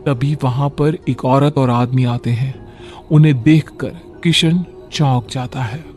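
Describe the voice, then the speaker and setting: A man's voice: mid-range voice, fairly even intonation, takes it slowly, darkish tone, faint music behind. Dramatic male storyteller. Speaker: narrator. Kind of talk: scripted story narration.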